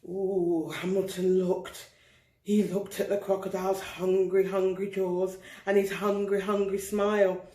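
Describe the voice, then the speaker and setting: A woman reading a picture book aloud to children, expressive and deliberate, drawing out words for emphasis. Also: medium pitch. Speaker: storyteller. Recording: roomy, echoing indoor sound.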